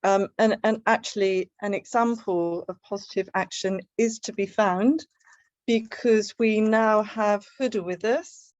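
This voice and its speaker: A middle-aged woman chairs a video call meeting, thanking and introducing speakers. Her voice is dry and close, a bit dull and lo-fi, at a middle pitch. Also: unhurried, a little mellow, dry recording.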